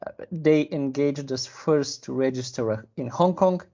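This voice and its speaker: A man, a sales manager explaining a client case in a measured, careful way with pauses to find words. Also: medium pitch, warm tone, dull audio. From Bulgaria. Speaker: sales and business development manager. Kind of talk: business interview.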